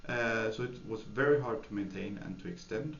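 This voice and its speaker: A man with a low, gravelly voice answers questions slowly, pausing on fillers and restarting his sentences. The sound is echoey and muffled, with a faint hiss behind him. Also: a bit bright. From Sweden. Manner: hesitant, many fillers and restarts. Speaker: software developer, interviewee. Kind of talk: thoughtful interview answers. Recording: echoey room, dull lo-fi sound, faint noise.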